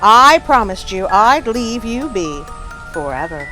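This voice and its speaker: Casting: female narrator playing a vengeful storybook devil, sly and taunting, lingering on words, animated. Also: fairly high pitch, slow pace, faint music behind, full character voice. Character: sly, vengeful male devil, mocking and unforgiving.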